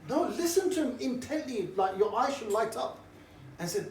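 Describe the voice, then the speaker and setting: A middle-aged man with a fairly high voice, talking a group through a casual, cheeky briefing. He was recorded from across an echoey room, with faint noise underneath. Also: moderate pace. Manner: cheeky, relaxed, jovial banter. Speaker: street preaching trainer. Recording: roomy echo, distant mic, faint background noise.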